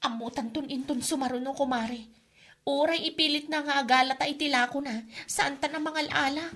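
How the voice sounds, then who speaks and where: High, bright, plaintive indebted wife. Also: female voice, fairly even intonation, fairly quick. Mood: complaining. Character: put-upon indebted wife, defensive and complaining. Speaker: radio drama actress. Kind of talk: Ilocano comedy radio drama acting.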